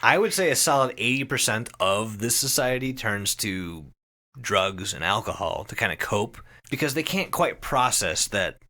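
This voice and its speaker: Bright, animated man bantering casually. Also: fairly low pitch, moderate pace. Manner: animated, emphatic, profane. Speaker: podcast host. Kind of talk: casual comedic chat, retelling and discussing a story.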